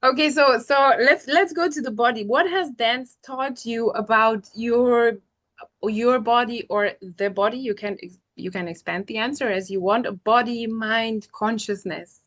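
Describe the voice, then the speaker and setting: A woman's voice: fairly high pitch, measured pace. Woman hosting a podcast chat. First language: Portuguese. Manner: thoughtful, rambling, peppered with "you know" and soft laughs. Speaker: podcast host. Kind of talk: casual conversational interview.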